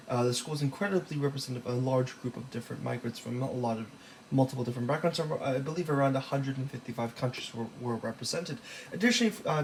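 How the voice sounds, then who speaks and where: Young male student presenter, slightly high and gravelly, casual and halting, faint room hiss. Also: low-quality recording. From Los Angeles, California. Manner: casual, halting with many fillers and restarts. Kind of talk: recorded class presentation.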